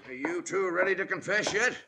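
An actor playing a stubborn old frontier sheriff with a folksy drawl in a 1950s radio western. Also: male voice, high voice, expressive, brisk, creaky, background noise. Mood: suspicious. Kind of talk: 1950s radio drama acting.

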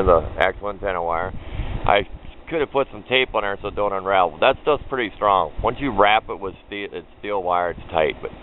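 A man giving a casual, plain-spoken, folksy homemade how-to narration as a hobbyist, picked up on a handheld camera microphone. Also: fairly low pitch. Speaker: hobbyist demonstrator. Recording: muffled phone-like camera audio with background noise.

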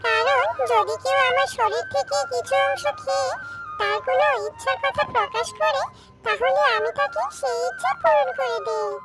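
A woman's voice: extremely high pitch, moderate pace, rough recording. A woman voicing a sweet, helpful, childlike magical cartoon creature in a children's fairy tale, speaking simply and earnestly. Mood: kind, helpful. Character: childlike magical talking jackfruit and little fox girl, sweet, helpful. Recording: loud tinkling children's music behind.

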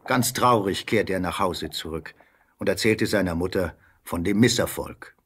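A man's voice: low voice, normal speed, fairly bright tone, husky. A man narrating a scripted fairy tale as storyteller.

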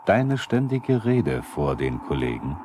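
A probing male narrator in a 1970s East German radio drama. His voice is extremely deep, and he speaks straight to the characters. The old record adds light noise. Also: very animated, slow, warm tone, exaggerated voice. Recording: noisy 1970s vinyl radio play.

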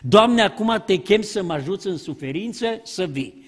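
An older man delivering a sermon at a pulpit in a church, talking with animated gestures into a pulpit microphone. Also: high voice, in a large hall. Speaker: preacher. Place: church hall. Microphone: pulpit mic.

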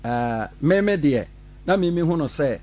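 A middle-aged man, a pastor from Ghana, telling his side in an animated radio studio interview. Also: fairly high pitch, moderate pace, slight background noise. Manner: animated, gestures while talking, laughs often. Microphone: studio mic on boom arm.

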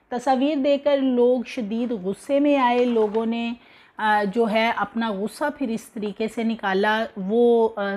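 A young woman with a Pakistani Urdu accent delivers news commentary in a conversational way, full of fillers and indignation. Her voice sits a little high. She talks to the camera from a home living room. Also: a little mellow. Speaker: news presenter. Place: indoor living room with TV behind.

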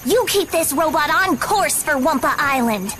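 A woman voicing a bratty, scheming evil teenage niece in a cartoon video game, with sneering, drawn-out sarcasm. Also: high-pitched, lively intonation, heavy background noise. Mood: annoyed, menacing.

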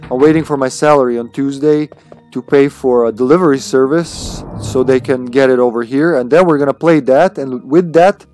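Low-key streamer, man, music behind. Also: moderate pace, a little mellow. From Serbia. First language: Serbian. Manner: rambling, playful, sweary. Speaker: video game livestreamer. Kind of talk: casual live gameplay commentary with chat.

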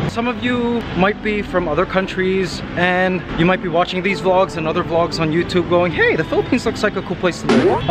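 A man in his thirties from Canada, vlogging casually outdoors on a city street with a handheld camera, chatty and quick to laugh. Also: high-pitched. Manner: chatty, laughs often, talks to his parrot. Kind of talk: casual walking vlog. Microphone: handheld camera at arm's length. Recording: loud music bed over the voice.

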